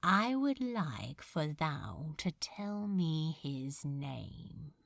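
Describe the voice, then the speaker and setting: A woman's voice: low-pitched, big pitch swings, extremely slow, vocal fry, no room sound, studio quality, voice pushed down, full character voice. A woman voicing a cruel demon lord in an audiobook, mock-polite and languid, with drawling, archaic menace. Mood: cold, curt. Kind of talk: audiobook character acting.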